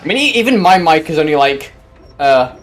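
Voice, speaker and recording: A man with a loud, booming voice doing casual speedrun commentary, rambling with lots of fillers, his mic at forearm's length. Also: sing-song, fairly quick, soft background music. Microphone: desk mic at forearm's length.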